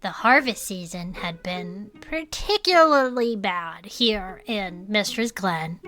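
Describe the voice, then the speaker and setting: A woman's voice: mid-range voice, lively intonation, slow pace, a bit bright, soft background music. A woman voicing an eager, chatty cryptid hunter who tells local legends with theatrical suspense on an in-character podcast. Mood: ominous, gossipy. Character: Herb, eager cryptid-hunting storyteller, chatty and dramatic.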